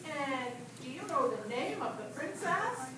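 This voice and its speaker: A woman's voice: high voice, very animated, a little slow. A woman playing a brave, earnest young prince with a bold, declamatory manner in a children's puppet show. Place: library event room, live show. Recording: distant, echoey, very noisy room.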